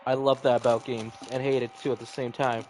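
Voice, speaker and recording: A man playing a visual novel, reading its narration aloud haltingly with repeated phrases and casual reactions. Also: mid-range voice, flat delivery, darkish tone, slight background noise. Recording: music behind the voice. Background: game music.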